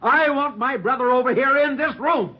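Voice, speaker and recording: A man's voice: very high voice, normal speed, slightly rough. An actor playing a hostile bomber in a 1940s radio crime drama, with slangy, sneering tough-guy talk and barked threats. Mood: furious, demanding. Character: hostile bomber holding city hall hostage, demanding his brother. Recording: muffled old 1940s radio recording.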